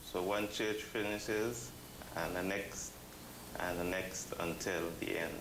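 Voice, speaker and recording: A man giving explanatory interview answers in measured, halting phrases. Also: low voice, steady tone. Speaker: interview guest, church committee member. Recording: roomy echo with background noise.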